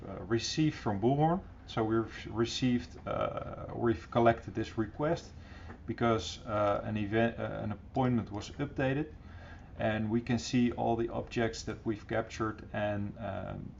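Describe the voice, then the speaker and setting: A middle-aged man presenting an informal, encouraging software tutorial in a casual style, recorded on a computer microphone. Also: lowish voice, gravelly. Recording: dull, slightly noisy computer recording.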